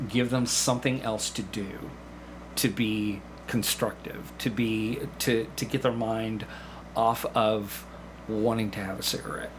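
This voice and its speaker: A middle-aged man speaking as an interview guest, explaining things conversationally with pauses mid-sentence. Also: fairly low pitch, level tone, slight background noise, rough recording. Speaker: podcast guest, actor and hypnotist.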